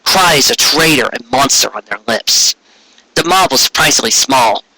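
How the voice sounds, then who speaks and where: A man's voice: medium pitch, animated, moderate pace, pushed and bright, no room sound, rough recording. A man narrating fan fiction aloud as an amateur reader, stumbling over words and correcting himself.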